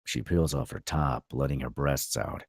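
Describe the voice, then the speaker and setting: A man's voice: rumbling low, moderate pace, no echo, clean studio sound. A man reading out screenplay stage directions as the narrator of a table read.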